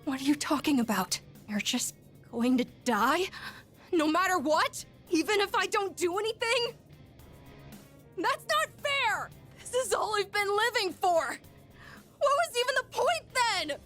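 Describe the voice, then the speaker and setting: A woman's voice: very high voice, lively intonation, measured pace, fairly bright tone, exaggerated voice. A woman voicing an earnest, wounded young boy bent on revenge in a video game, intense and emotional. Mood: distraught. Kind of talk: English video game voice acting. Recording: music under the voice.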